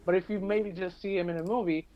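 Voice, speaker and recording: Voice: medium pitch, fairly even intonation, fast talker, muffled recording. A podcast guest in casual discussion, explaining step by step and repeating phrases for emphasis.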